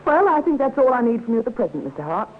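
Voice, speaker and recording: A businesslike policewoman from a 1950s radio drama. Her voice is high and lively in pitch, her manner formal and coolly polite, on a tinny old recording. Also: very fast, a little hiss or hum. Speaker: police sergeant.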